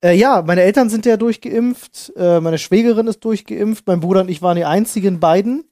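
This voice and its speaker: A man's voice: sits high, fairly quick, warm tone, no room sound, clean studio sound. A man co-hosting a podcast, telling casual anecdotes in slangy, youthful phrasing. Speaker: podcast host.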